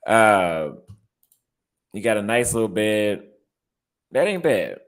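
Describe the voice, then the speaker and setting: A man's voice: fairly low pitch, big pitch swings, slow. A young man with a Texas accent, chatting casually in a vlog and reacting aloud as he browses apartment listings, repeating his phrases. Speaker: vlogger and barber.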